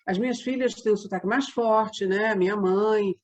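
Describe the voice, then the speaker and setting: An older woman with a softened Rio de Janeiro accent, chatting casually as an interview guest and telling anecdotes. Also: medium pitch, moderate pace. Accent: softened Rio de Janeiro Brazilian Portuguese. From Rio de Janeiro, Brazil. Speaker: interview guest, radio drama performer and producer.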